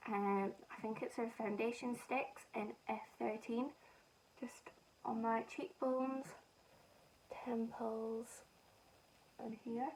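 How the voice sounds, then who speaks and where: Young Scottish woman, beauty vlogger, rambling and self-mocking, slightly high voice. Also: little pitch movement, slow pace, long pauses, a little mellow, recorded from a distance, slight background noise, low-quality recording. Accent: Scottish softened by northern English. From Scotland. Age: young adult. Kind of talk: casual chat while doing makeup.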